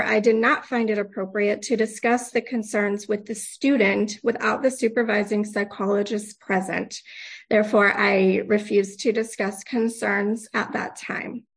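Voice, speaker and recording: Congested woman reading a formal statement. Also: mid-range voice. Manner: formal, measured, clears throat. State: congested with a cold. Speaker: complainant at a board hearing.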